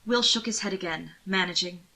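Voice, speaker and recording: A woman's voice: fairly low pitch, a little fast, gravelly, sharp tone, poor audio. A woman reading scripted fiction expressively as an audiobook narrator.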